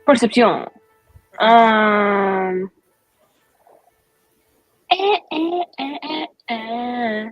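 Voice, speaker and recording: A woman playing in a tabletop game, chiming in with quick, excited interjections. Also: a little high, muffled recording, faint music behind. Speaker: player.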